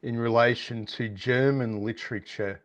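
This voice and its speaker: A man's voice: mid-range voice, steady tone, normal speed. A man, an audience member on a video call, asking a question in a chatty and wry way. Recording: dull lo-fi video call audio.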